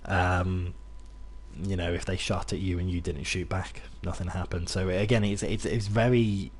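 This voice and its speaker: A man's voice: deep voice, little pitch movement, normal speed, a little hiss or hum. A young British man from near Birmingham with a loosely mixed British accent, chatting casually to viewers while playing a game, rambling with fillers and restarts. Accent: British, mixed, not Brummie. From England, near Birmingham. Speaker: gaming streamer. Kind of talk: casual gameplay commentary to chat.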